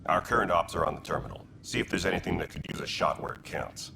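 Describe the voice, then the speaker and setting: Very deep, gravelly alien soldier. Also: male voice, fast, background noise. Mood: businesslike. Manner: terse, military bluntness. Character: gruff male turian alien militia leader, steady and dutiful. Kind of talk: video game dialogue.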